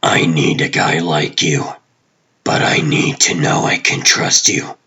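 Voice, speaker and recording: A man voicing a guarded, commanding TV producer who is secretly a superhero in a comic dub, speaking tersely and deliberately in a husky, rough voice. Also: a bass voice, fairly even intonation, bright, forceful tone, full character voice. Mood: serious, secretive.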